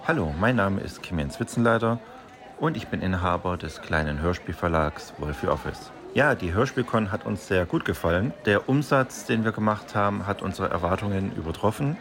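Deep-voiced man over crowd chatter. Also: animated, moderate pace. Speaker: audio drama publisher, interviewee. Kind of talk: orderly interview statement. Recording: crowd chatter at busy event.

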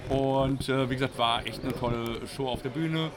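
Male event organiser, medium pitch, informal interview talk, noisy crowd in background. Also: moderate pace. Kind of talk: casual, jokey interview chat. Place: busy audio drama convention. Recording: busy convention crowd behind voice.

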